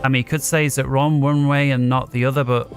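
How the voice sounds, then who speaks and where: A man's voice: medium pitch, flat delivery, fast talker, soft background music. A man giving casual gameplay commentary as a gaming video host, thinking aloud as he plays. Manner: thinking aloud while playing.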